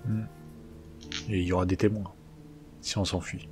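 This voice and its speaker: A man playing a character in a tabletop game, speaking in a measured, deliberate and slightly formal way. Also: deep voice, flat delivery, mellow, faint music behind. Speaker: player.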